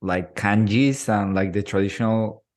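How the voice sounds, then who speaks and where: A man's voice: fairly low pitch, normal speed, darkish tone. A man hosting a podcast interview, asking curious questions and giving short reactions. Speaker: podcast host.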